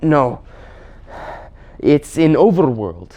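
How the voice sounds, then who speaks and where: A man voicing a stubborn, earnest, plain-spoken marsh creature with a halting, dogged delivery. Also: fairly high pitch, big pitch swings, dark tone, muffled recording, pitched above the performer's own voice, exaggerated voice. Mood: earnest, insistent.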